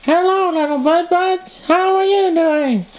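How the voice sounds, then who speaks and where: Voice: extremely high pitch, unhurried, poor audio. A performer voicing a friendly little puppet creature for toddlers, babyish and cheerful in a sing-song manner, with music playing. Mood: playful, curious. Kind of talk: improvised puppet show for toddlers.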